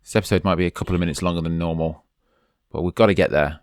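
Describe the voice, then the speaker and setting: A man's voice: sits low, steady tone, very fast, clear, resonant tone, no room sound, clean studio sound. A man from the UK commenting casually over a game, chatty and urging his character on, while losing his voice. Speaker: let's play host.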